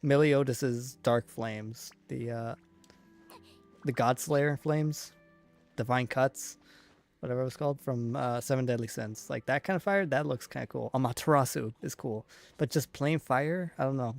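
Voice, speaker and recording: A man giving casual live commentary on a video game, rambling and halting as he thinks aloud. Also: mid-range voice, creaky, faint music behind. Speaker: game streamer.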